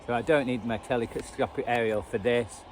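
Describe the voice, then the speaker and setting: Over clear outdoor background noise, a man with a Northern English accent talks casually to his viewers. He thinks aloud, pausing and trailing off as he demonstrates his hobby in an unscripted vlog. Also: medium pitch, steady tone. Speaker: radio hobbyist vlogger. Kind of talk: casual unscripted chat, demonstrating a radio band scan. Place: outdoors at edge of a churchyard. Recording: noisy outdoor recording with traffic ambience.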